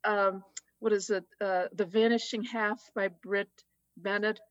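A woman with a Boston accent, talking as a guest in a casual conversation, chatty and quick to laugh. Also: medium pitch, steady tone, dull audio. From United States. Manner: chatty, laughs easily, many fillers.

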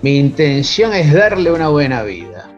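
Actor haciendo de galán afligido, muy expresivo. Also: male voice, on the high side, sing-song, moderate pace, highs cut off, soft background music. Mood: anguished. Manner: melodramatic. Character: devoted, anguished gentleman doctor in love. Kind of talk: radio soap opera acting.

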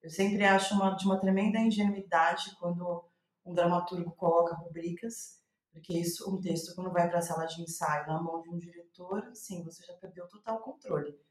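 A woman's voice: fairly low pitch, steady tone. Brazilian woman in an echoey room, thinking aloud. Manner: thinking aloud, halting and searching for words. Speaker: playwright, interview guest. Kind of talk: reflective interview answers, spontaneous. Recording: roomy, echoing sound.

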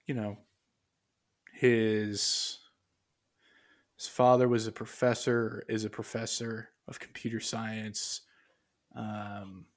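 A man co-hosting a casual podcast, rambling and hesitant, full of fillers and restarts as he reads aloud from his notes. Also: lowish voice. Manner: muses aloud while reading from notes. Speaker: podcast co-host.

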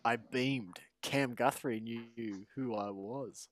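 A man's voice: mid-range voice, takes it slowly. A man co-hosting a sports podcast, chatting playfully and reading a story aloud. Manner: playful, laughs often. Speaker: podcast co-host.

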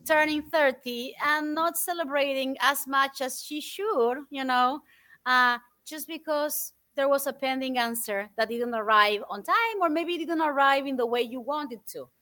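A woman in her forties from Argentina, guest on an interview show, telling stories in an animated, thoughtful way with many fillers. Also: high-pitched, measured pace. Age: 40s. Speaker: guest speaker. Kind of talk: interview answers, storytelling.

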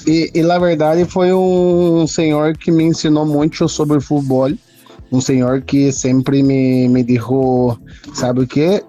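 Male footballer guest, Brazilian accent, native Portuguese, fairly high voice, casual reminiscing, dull lo-fi sound. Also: flat delivery, slow pace, warm tone. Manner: mixes Portuguese and Spanish. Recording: dull lo-fi audio, music behind.

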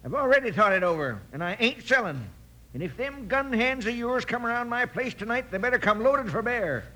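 Old rancher, high voice, sharp edge, folksy, stubborn, vintage radio western. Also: male voice, animated, a little fast, heavily acted. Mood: defiant. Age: older. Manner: folksy, rustic grammar. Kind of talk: 1950s western radio acting.

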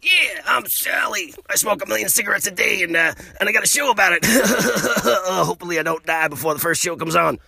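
A man voicing a chain-smoking woman in a promo for her show, loud and brash, with a cackle. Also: high-pitched, expressive, a little fast, vocal fry, hardly any pauses, slightly rough, no echo, pitched above the performer's own voice, full character voice.

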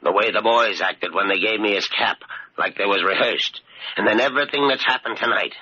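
An actor playing a suspicious, resolute tough guy with rough, ungrammatical speech in an old-time radio thriller. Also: fairly low pitch, fairly quick, bright, forceful tone, full character voice. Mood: uneasy, suspicious. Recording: muffled, noisy old radio broadcast.

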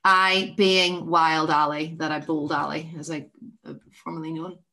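A woman with a deep voice hosting a podcast, chatting casually and telling rambling stories that keep interrupting themselves. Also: level tone, measured pace, sharp tone. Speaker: podcast host. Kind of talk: casual chat.

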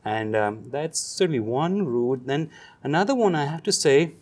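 Man, German accent, fifties, academic guest, reflective, halting with fillers, mid pitch. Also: expressive, a little mellow, slightly rough. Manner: reflective, pausing with many ums and uhs. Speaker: guest, psychology professor. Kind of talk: thoughtful interview answers.

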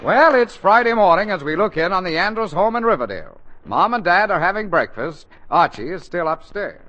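A man's voice: high voice, lively intonation, brisk, pushed and bright, some chatter behind. A man announcing a 1940s radio show in an emphatic, projected manner. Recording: dull, noisy 1940s radio broadcast.

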